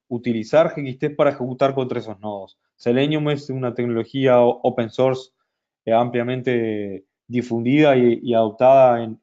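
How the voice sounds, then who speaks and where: A man presenting a software product in a webinar, talking slowly with many fillers and restarts. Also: mid-range voice, muffled recording. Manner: hesitant, many fillers and restarts, speaks slowly in Spanish for a Brazilian audience.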